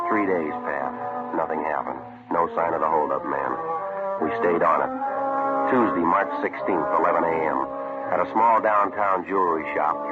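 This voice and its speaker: A man's voice: sits low, lightly acted. An American man playing a stoic police detective in an old radio drama, terse and matter-of-fact on a muffled recording. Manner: clipped, matter-of-fact, deadpan. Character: stoic, businesslike male police detective sergeant. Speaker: narrator and lead police detective.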